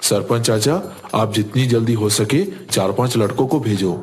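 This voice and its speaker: A man voicing a calm, reassuring young village cook in a cartoon, polite and assured. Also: fairly low pitch, normal speed, close to an everyday voice. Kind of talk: Hindi cartoon story dialogue. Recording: background music under voice.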